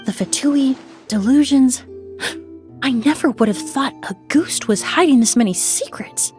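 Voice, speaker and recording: A young female investigator from a video game, composed and analytical. The voice sits high, and the delivery is precise, measured and formal. Faint music runs underneath. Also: a little mellow. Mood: composed, serious.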